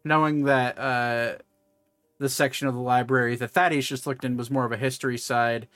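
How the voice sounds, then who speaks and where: Male game master, mid-range voice, halting conversational narration, dry recording, faint music behind. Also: medium pitch, fairly even intonation, a bit bright, dry recording. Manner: halting, conversational, self-correcting. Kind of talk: improvised tabletop game narration.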